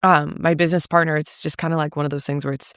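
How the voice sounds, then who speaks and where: A woman's voice: low-pitched, flat delivery, fast, fairly bright tone, smooth, no echo, clean studio sound. A woman from Salem, Massachusetts, a reality show cast member speaking frankly as a podcast guest, with rambling sentences full of fillers and casual swearing. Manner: frank, run-on talk with frequent fillers and mild swearing. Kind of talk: candid conversational interview.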